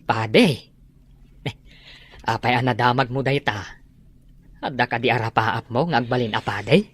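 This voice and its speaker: A man acting a gentle, devout rural father in a radio drama, halting, with a Filipino accent. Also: mid-range voice, slightly rough. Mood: thoughtful.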